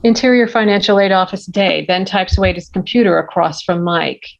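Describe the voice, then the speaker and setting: A woman's voice: medium pitch, fairly even intonation, a little fast, creaky. A woman reading scripted stage directions as the narrator of a table read. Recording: dull, lo-fi audio.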